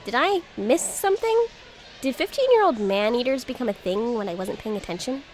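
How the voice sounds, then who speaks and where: A woman playing a sarcastic, feisty high school girl in an audio drama, snarky and exaggerated in her incredulity. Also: expressive, brisk, soft background music, lightly acted.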